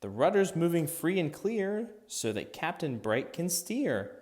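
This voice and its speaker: A man narrating a rhythmic children's picture book as an expressive read-aloud for young listeners. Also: fairly high pitch, unhurried.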